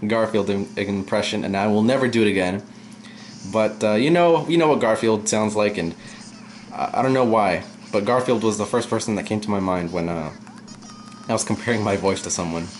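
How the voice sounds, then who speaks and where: A young man giving casual, rambling commentary while playing a video game, his voice low and at times monotone. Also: a little fast, slight background noise. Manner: rambling, chatty, drifts off topic. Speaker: let's play gamer. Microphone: camera aimed at the game screen.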